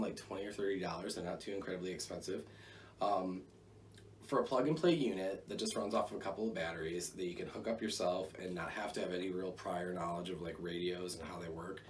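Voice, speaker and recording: An American man with a low voice talks through a gear review in a casual, rambling way. He sounds conversational and drops in joking asides. The mic picks him up from a distance, with faint noise behind him. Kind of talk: casual product review to camera.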